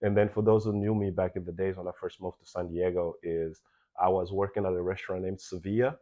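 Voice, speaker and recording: A young man from Belgium speaks in a very low voice, telling stories casually and putting on quoted lines as he goes. The recording is slightly dull and lo-fi. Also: quick pace. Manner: animated, acts out quoted exchanges. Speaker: podcast guest, nightlife VIP host. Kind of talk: casual interview storytelling.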